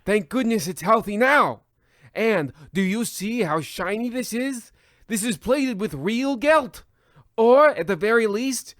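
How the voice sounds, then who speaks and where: A man playing an excitable, scheming tenement dweller in a scripted parody of old radio drama, speaking in a theatrical, declamatory way. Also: sits high, no echo, studio quality, heavily acted. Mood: excited. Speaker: sketch performer and presenter of a comedy radio play.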